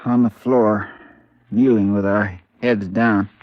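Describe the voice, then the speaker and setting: An actor playing a shaken, deferential robbery victim, hesitant and subdued, in an old radio drama. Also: male voice, lowish voice, fairly even intonation, takes it slowly, dry recording. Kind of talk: 1950s radio drama acting. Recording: dull vintage radio recording.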